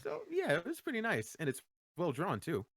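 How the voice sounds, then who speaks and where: A man's voice: fairly high pitch, wildly swooping pitch, normal speed, fairly bright tone. A man who does amateur voice acting, talking casually and tentatively in a group Q&A, thinking aloud. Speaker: amateur voice actor in a comic dub.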